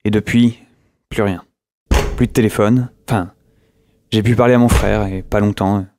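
A man acting a weary, isolated survivor who records a halting, brooding audio diary for a radio drama. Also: lowish voice, fairly even intonation, background noise, lightly acted. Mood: brooding, subdued. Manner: halting, brooding, with pauses and hesitations. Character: weary, isolated male survivor holed up in a flat. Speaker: narrator and lead actor.

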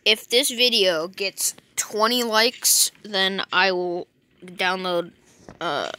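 Bright, jokey young woman gaming. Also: medium pitch, fairly even intonation, slow. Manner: jokey, rambling, self-correcting with "just kidding". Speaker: channel host. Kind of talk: casual gaming chat. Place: home bedroom. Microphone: phone.

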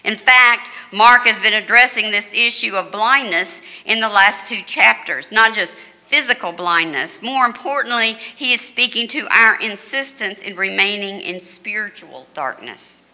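An older woman pastor delivering a measured, earnest sermon at a lectern mic in a church sanctuary. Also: medium pitch, animated, bright, forceful tone. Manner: measured, earnest preaching with dramatic quoted voices. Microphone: stand mic at a lectern, far camera. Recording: reverberant church hall.

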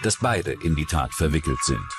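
A man's voice: very deep voice, lively intonation, normal speed, over music. A man reading scripted true-crime narration as a documentary narrator.

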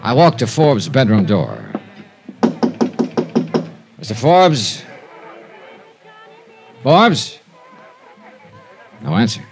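An actor playing a wry, hard-boiled insurance investigator with a dry delivery in a 1950s radio crime drama. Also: male voice, medium pitch, wildly swooping pitch, extremely slow, creaky, long pauses, full character voice. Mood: dry, tense. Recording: old 1950s radio recording with music.

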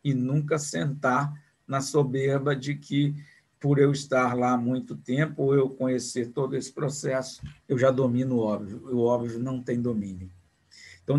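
A man's voice: medium pitch, breathy edge. A man in his sixties giving an online business lecture over a video call, talking in a conversational way with repeated words and rhetorical questions.

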